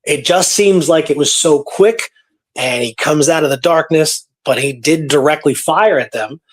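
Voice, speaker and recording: Male radio co-host, fairly high voice, conversational history storyteller. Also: normal speed, husky. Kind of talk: casual history discussion.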